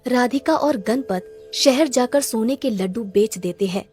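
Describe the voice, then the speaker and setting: A woman's voice: on the high side, unhurried, darkish tone, faint music behind. A woman storyteller giving scripted narration for a children's story.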